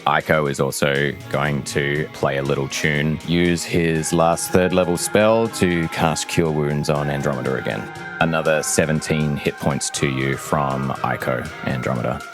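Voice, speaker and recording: A man narrating a tabletop game as game master, measured and theatrical in his storytelling. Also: extremely low pitch. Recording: loud plucked-guitar music bed. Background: loud guitar music.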